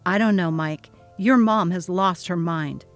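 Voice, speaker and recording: Brief: woman reads exasperated young mom, sharp and emphatic, dramatic text-story narration. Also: on the low side, a little slow, soft background music. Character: exasperated young mother, fed up and defiant.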